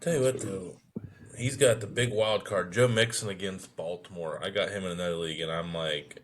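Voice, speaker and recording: A man's voice: sits low, a little fast, a little hiss or hum. A man co-hosting a fantasy football podcast, chatting casually and retelling moments from a live show. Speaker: podcast co-host.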